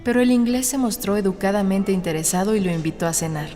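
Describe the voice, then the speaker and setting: A woman reading a novel as an audiobook narrator, in a measured, suspenseful storytelling manner. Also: mid-range voice, mellow. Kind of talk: scripted dramatic narration of a novel. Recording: studio narration over a music bed. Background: background music.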